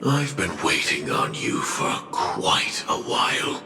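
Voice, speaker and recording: An armored machine hunter from a video game, solemn and menacing, with an extremely low voice. He speaks slowly and resolutely, echoing under loud music. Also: very animated, exaggerated voice. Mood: grave, resolute. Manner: slow, grave, deliberate declarations. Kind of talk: scripted video game cutscene acting.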